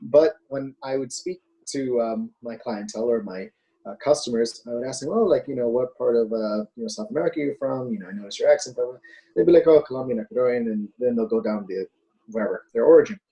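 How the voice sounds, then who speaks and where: A man co-hosts a casual political chat show in a warm, dark voice. He rambles, circling his points with fillers and restarts. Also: medium pitch, fast, highs cut off. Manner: rambling, hesitant, with many fillers. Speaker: podcast co-host.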